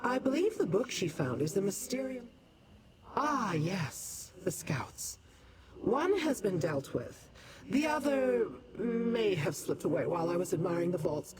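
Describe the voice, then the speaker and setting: An actress playing a haughty, amused female demon in a video game, speaking with teasing, deliberate condescension toward mortals. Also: mid-range voice, noisy background. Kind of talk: video game character acting.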